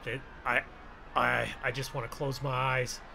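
An American man hosting a gaming roleplay video, exclaiming in casual, excited commentary. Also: mid-range voice, measured pace. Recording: clear noise, vehicle ambience behind.

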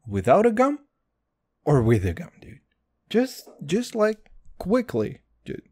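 Guy hosting a comedy podcast, foul-mouthed, keeps saying dude, mellow dark voice. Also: sits high, wildly swooping pitch, slow. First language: Hebrew. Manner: crude, loud swings, calls listeners dude. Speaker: podcast host. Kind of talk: comedic solo podcast rant.

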